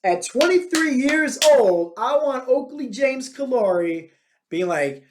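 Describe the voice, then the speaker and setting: A man's voice: extremely high pitch, slow pace, background noise. A man in his thirties, a fitness coach hosting his own podcast, talking bluntly and with many fillers in a motivational monologue. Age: 30s. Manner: energetic, filler-heavy, many "like" and "right?".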